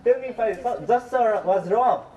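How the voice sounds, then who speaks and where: A man's voice: high-pitched, normal speed, warm tone, lo-fi sound, lightly acted. A man playing an aging, put-upon father in an amateur stage play, bitter and self-pitying, with stilted, declamatory delivery. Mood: accusing. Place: school stage performance, recorded from a distance. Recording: distant mic, noisy hall recording.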